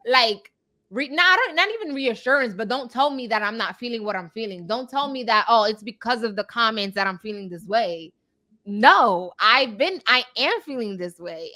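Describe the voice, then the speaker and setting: A woman's voice: fairly high pitch, sharp tone. A woman co-hosting a talk podcast, giving casual, opinionated commentary and repeating her points for emphasis. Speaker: podcast co-host.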